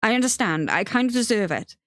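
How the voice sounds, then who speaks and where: A woman voicing a frightened, remorseful young man in love, pleading in a wavering, halting way in an audiobook. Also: lowish voice, a little mellow, no room sound, clean studio sound, natural voice. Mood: apologetic.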